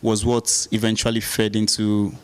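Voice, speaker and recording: A man's voice: on the low side, completely flat delivery, moderate pace, clear, resonant tone. A man hosting an interview, teasing his guest and often repeating words as he starts. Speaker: interview host. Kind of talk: casual interview chat.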